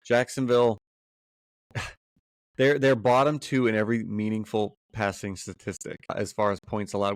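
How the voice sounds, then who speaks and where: A young man with a bad cold, co-hosting a casual fantasy football podcast and weighing his picks out loud. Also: medium pitch, no room sound, clean studio sound. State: congested with a cold.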